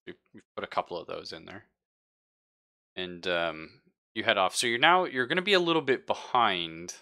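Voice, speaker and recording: A man running a tabletop roleplaying game, describing scenes to players in a halting, conversational way. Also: deep voice, gravelly, sharp tone. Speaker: game master. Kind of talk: improvised narration of a live tabletop roleplaying game.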